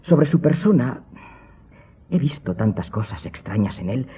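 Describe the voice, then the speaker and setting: A man's voice: medium pitch, very animated, unhurried, warm tone, slight background noise. A man playing an earnest young nobleman, a polite and trusting son, in a radio drama. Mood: musing, admiring. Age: young adult. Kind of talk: Spanish radio drama acting. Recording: muffled phone-like audio with music.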